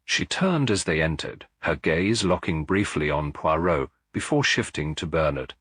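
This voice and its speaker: A man narrating a detective story in a measured, dramatic audiobook style. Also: extremely low pitch, expressive, fairly bright tone, husky, no room sound, clean studio sound.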